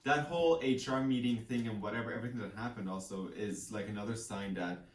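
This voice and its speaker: A man's voice: on the low side, fast. A man co-hosting a casual book chat, retelling a story in a loose, rambling way with bits of quoted dialogue. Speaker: co-host of a book discussion show. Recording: echoey room, distant microphone.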